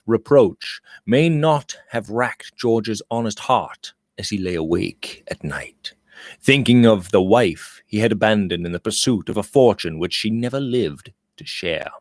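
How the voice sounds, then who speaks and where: A man's voice: fairly low pitch, no echo, studio quality. A man reading a literary novel aloud as scripted audiobook narration, with dramatic phrasing. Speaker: audiobook reader.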